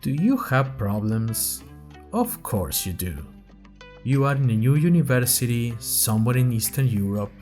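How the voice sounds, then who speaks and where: A man playing a persuasive secret-brotherhood recruiter in a parody advertisement, mock-grave like a pitchman. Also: sing-song, measured pace, a little mellow, faint music behind. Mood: persuasive, mock-solemn.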